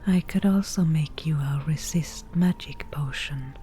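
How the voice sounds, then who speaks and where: A woman playing a wry forest witch who bargains over potions, in a soft breathy whisper with a slow, dryly teasing manner, as ASMR fantasy roleplay. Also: low-pitched, faint music behind. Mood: calm, wry.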